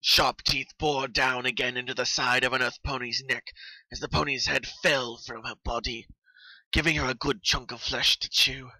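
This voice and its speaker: Bright, forceful dramatic horror narrator. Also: mid-range voice, fairly even intonation, moderate pace, no room sound, rough recording. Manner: intense, theatrical storytelling. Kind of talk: dramatic horror fan fiction reading.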